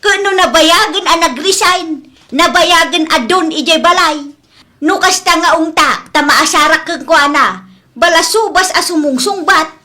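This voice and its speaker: A woman playing a scolding, sharp-tongued, fed-up mother-in-law in a comedy drama, with an Ilocano accent. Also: very high-pitched, unhurried, exaggerated voice. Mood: indignant. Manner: scolding, exasperated.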